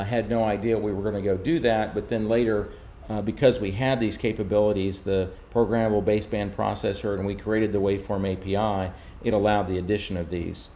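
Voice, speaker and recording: A man speaks in a fairly low voice, walking through technical material as a company presenter. His phrasing is informal and explanatory. There is some light background noise on the recording. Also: dark tone. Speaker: radio manufacturer's presenter.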